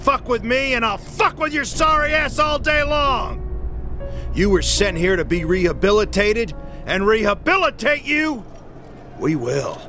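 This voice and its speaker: Domineering prison captain, bright sharp voice, somewhat high, profane barking, music behind. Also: male voice, sing-song, unhurried, heavily acted. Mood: menacing. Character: harsh male prison captain, domineering, foul-mouthed.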